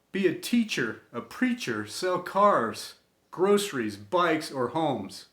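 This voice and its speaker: A middle-aged American man reading a scripted inspirational tribute in an earnest, reverent way, with deliberate phrasing and dramatic pauses. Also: a little high, a bit bright. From United States. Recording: echoey room sound.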